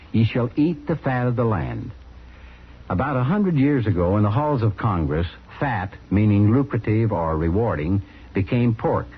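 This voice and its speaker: A man delivers an explanatory radio talk in a mid-pitched, husky voice. His intonation is animated and varied. The recording sounds dull and dated.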